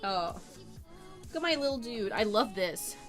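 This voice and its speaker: A woman's voice: mid-range voice, expressive, measured pace, over music. A woman livestreaming while she draws, chatting casually with viewers in a rambling, bubbly way, often repeating herself and giggling. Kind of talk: casual chat with stream viewers while drawing.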